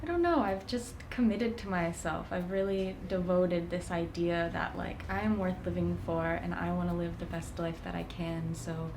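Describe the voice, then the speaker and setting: A young woman speaks reflectively about her own life, laughing often between thoughts. Her voice sits a little low for a woman. Faint ambience is audible behind her. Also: fairly even intonation, normal speed, few pauses, background noise. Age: young adult. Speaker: volunteer. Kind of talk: casual conversation. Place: charity kitchen.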